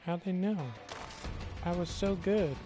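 A man giving live gameplay commentary, excitable and jokey, exclaiming and swearing as he plays. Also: sits high, a little slow. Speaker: game streamer. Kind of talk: live gameplay commentary, reacting and reading chat. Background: video game music, gunfire.

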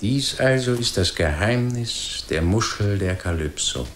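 An actor playing an earnest, learned doctor in a literary radio drama, reflective, eloquent and emphatic. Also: male voice, fairly low pitch, lively intonation, measured pace, soft background music. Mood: reflective, solemn. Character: earnest, philosophical learned doctor, easily astonished. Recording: faint noise and ambience behind.

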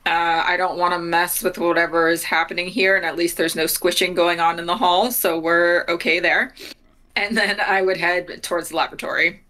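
A woman's voice: on the low side, flat delivery, moderate pace, pushed and bright. A young adult woman talking casually as a player in a tabletop game. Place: small home room, video call.

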